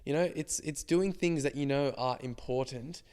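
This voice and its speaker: A man in his twenties co-hosting a podcast with a slightly croaky voice, reflecting at length in casual chat. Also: medium pitch, normal speed, a little mellow. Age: 20s. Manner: reflective, explains ideas at length. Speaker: podcast co-host.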